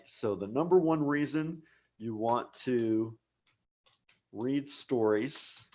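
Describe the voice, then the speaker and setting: An American man teaching a live English lesson online, speaking patiently and repeating key words for learners. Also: mid-range voice. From United States. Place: home office abroad.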